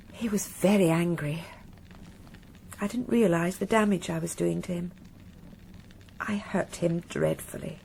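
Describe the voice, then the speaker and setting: An actress playing a frank, wistful married woman in a radio drama, speaking in a reflective, measured, confessional way. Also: fairly low pitch, gravelly, a little hiss or hum. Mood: remorseful. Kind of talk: naturalistic radio drama acting.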